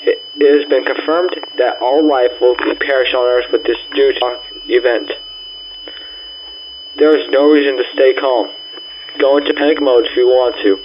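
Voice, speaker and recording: Man, mid-pitched, halting stumbling delivery, mock emergency announcement, clear hum and noise behind. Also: fairly even intonation. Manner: halting, stumbling over words and repeating phrases. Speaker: amateur emergency broadcast announcer playing a president's address. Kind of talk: mock emergency alert announcement, read aloud.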